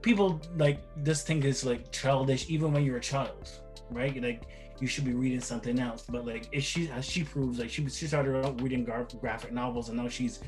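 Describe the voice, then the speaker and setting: A man's voice: medium pitch, steady tone, normal speed, a little mellow, soft background music. A middle-aged man from Barbados giving casual, rambling interview answers, repeating words and often checking with "right?". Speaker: interview guest, father of the other guest.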